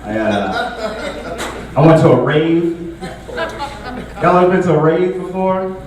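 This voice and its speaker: A young man performing a stand-up comedy set in a relaxed, conversational way, full of filler words. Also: on the high side, takes it slowly, a crowd in the background. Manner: laid-back, conversational, frequent filler words. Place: comedy show venue with a live audience. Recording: echoey room, distant mic, audible noise.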